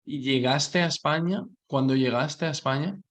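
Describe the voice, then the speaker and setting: A man's voice: on the high side, moderate pace, a bit bright. A man, a language coach, hosting an interview and encouraging his guest with frequent short affirmations.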